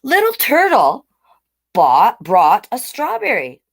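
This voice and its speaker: A woman hosting a live children's storytime, with animated, sing-song delivery and chatty asides. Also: fairly high pitch, slow pace, husky.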